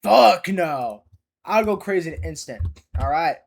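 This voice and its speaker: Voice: medium pitch, lively intonation, a little slow, gravelly. A reaction host giving casual, exclamatory commentary with plenty of swearing while watching a video.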